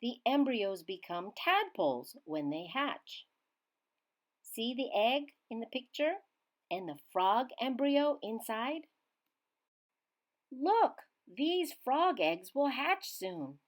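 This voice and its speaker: A woman reading a children's science book aloud in a teacherly way, emphasizing key words with simple, expressive phrasing. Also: a little high, slow. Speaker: children's read-aloud reader.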